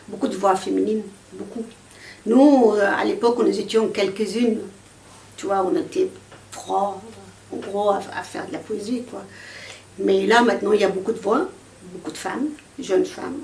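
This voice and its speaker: Egyptian woman, chatting at an outdoor poetry fair, lots of fillers, gravelly, echoey and a bit far off. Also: medium pitch, darkish tone. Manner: hesitant, many fillers and restarts. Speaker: poet interviewed. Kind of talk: casual conversational interview. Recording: echoey, from a distance, faint outdoor noise.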